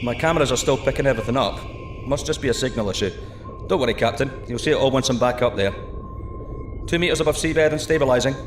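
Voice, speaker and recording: An actor playing a male deep-sea diver piloting a tiny submarine, giving calm, clipped radio reports. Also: fast, roomy sound, background noise, close to an everyday voice. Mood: steady. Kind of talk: audio drama acting.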